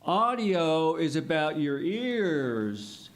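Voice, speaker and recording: A man's voice: on the high side, very animated, drawn-out words. A man working as the announcer of a live audio theater show, presenting scripted material and explaining things simply and patiently for children.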